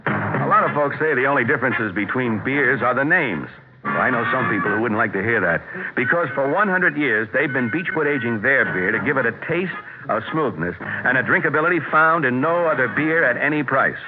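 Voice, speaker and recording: Casting: male vintage commercial announcer, folksy, animated mid-pitched delivery, phone-thin sound. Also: fairly quick. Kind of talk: folksy scripted 1970s radio ad. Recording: tinny radio recording, loud noise.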